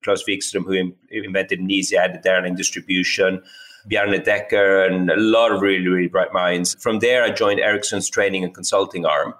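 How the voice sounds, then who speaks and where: A man's voice: low voice, fairly bright tone, low-quality recording. A middle-aged Italian-born man whose English accent blends British, American and Swedish traces, telling the story of his career as a podcast guest, thoughtful and full of "you know". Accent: mixed British, American and Swedish English. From Italy. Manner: thoughtful, repeats words as he thinks, many "you know" fillers. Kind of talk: casual interview, recounting his career.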